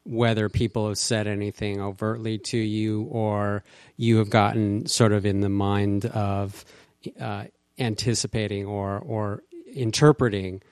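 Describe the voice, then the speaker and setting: A man interviewing a guest, asking measured, probing questions and often restarting his sentences. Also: lowish voice, darkish tone, dry recording, clean studio sound. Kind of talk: interview conversation.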